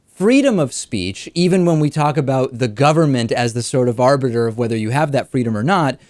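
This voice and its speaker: A man hosting a political interview show, talking in a measured, articulate way and setting out examples before he asks his questions. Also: medium pitch, lively intonation, darkish tone, dry recording. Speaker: talk show host and interviewer.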